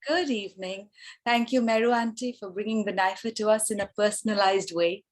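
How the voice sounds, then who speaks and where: A woman on a video call earnestly pitching a project, her requests a little rambling. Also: fairly quick. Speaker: project organizer.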